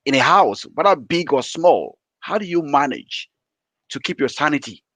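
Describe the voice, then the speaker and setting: A man's voice: fairly high pitch, animated, normal speed, a bit bright, no room sound. Man hosting, dry close sound. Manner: hesitant, winding questions with fillers. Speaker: host. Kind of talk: interview questions.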